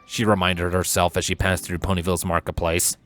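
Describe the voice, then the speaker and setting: A man narrating a scripted story in a measured, dramatic storytelling manner. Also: deep voice, level tone, fairly bright tone. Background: music.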